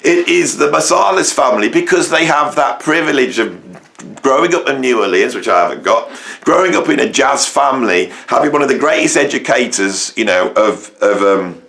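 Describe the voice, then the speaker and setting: Middle-aged English musician-host, gravelly and croaky, mid-pitched, emphatic rambling opinion piece. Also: male voice, expressive, moderate pace, a bit bright. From England, near Birmingham. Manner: rambling, emphatic, many fillers and restarts. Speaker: musician and educator, channel host. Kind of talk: opinionated monologue to camera.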